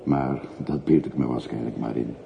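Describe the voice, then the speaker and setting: An actor playing a talkative, obliging older railway porter in a radio play, chatty and deferential. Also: male voice, extremely low pitch, fairly even intonation, moderate pace, gravelly, dark tone, exaggerated voice. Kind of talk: radio drama acting. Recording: muffled narrowband radio recording with hiss.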